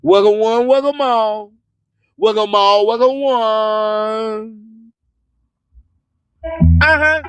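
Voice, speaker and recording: Slow, very high-pitched male radio host. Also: fairly bright tone, clean tone, dry recording. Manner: emphatic, repeats phrases for effect. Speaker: online radio show host and announcer. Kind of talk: hype radio live-stream announcements with slogans and sponsor reads.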